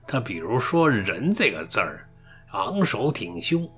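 A man's voice: lowish voice, big pitch swings, a little slow, fairly bright tone, soft background music. A man playing a kindly, teasing old grandfather who likes to explain things, in a radio drama.

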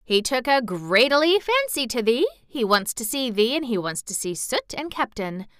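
A spirited young girl, as a woman puts her on, proudly shapes her words in broad Yorkshire dialect. Her voice sits high and bright, with a clean, crisp edge. The sound is close and dry. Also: very animated, normal speed, dry recording. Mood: eager.

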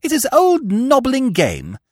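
A man voicing a breezy, flustered young upper-class gentleman who talks in chatty slang. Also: extremely high pitch, huge pitch swings, takes it slowly, dry recording, studio quality, voice pushed up, full character voice. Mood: exasperated.

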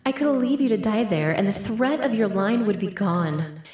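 A woman's voice: mid-range voice, animated, normal speed. Echoing, haughty fey queen. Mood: gloating, scheming. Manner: mocking, theatrical, condescending. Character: haughty, gloating fey Queen of brambles and vines. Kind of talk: dark fantasy audio drama. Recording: echoey, distant-sounding voice.

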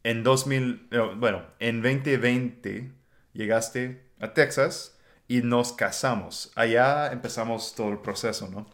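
A man with an American accent hosting a casual podcast in Spanish, relaxed and quick to laugh. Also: medium pitch. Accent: American English. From United States. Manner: relaxed, unhurried, laughs easily. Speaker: podcast host. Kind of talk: casual chat.

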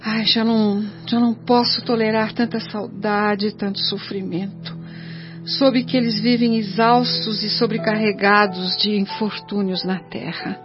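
A woman's voice: mid-range voice, little pitch movement, unhurried, natural voice. A woman with a Brazilian accent playing an anguished, complaining mother spirit in a radio drama, plaintive and self-justifying. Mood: anguished pleading. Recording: dull lo-fi radio drama with music behind. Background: music.

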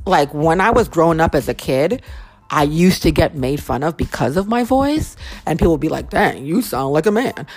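A young woman with a naturally low, deep-toned voice hosts her own podcast. She talks straight to her listeners in a casual, heartfelt way and repeats phrases to press her point home. Faint music plays behind her. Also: lively intonation, normal speed, darkish tone. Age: young adult. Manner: earnest, repeats phrases for emphasis. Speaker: podcast host.

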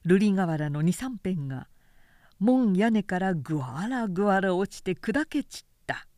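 Expressive woman narrator, very dry close sound. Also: mid-range voice, dry recording. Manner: clear, measured, expressive storytelling delivery. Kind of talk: dramatic audiobook reading of a historical novel.

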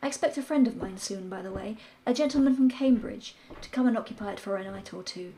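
A woman's voice: mid-range voice, fast talker, dark tone, natural voice. A woman voicing a prim, fussy male professor who is a firm skeptic. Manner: precise, prim, slightly pompous.

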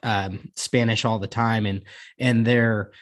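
A man's voice: lowish voice, little pitch movement, slow. A man hosting an interview, asking long, rambling questions and reacting with enthusiasm. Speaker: podcast host, interviewer. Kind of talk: conversational interview.

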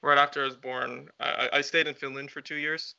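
A young man speaks in a relaxed, rambling way, tossing in fillers as he thinks. His voice is bright and forceful. The recording sounds dull and lo-fi. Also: mid-range voice, brisk, vocal fry, clear, resonant tone. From United States. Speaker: guest, campaign founder. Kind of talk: casual podcast interview.

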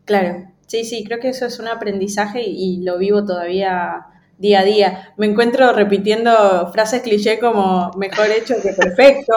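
A woman's voice: medium pitch, fairly even intonation, normal speed, a little mellow. Woman in a roomy, echoing space. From Ecuador. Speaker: interview guest, design professional. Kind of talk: casual conversational interview.

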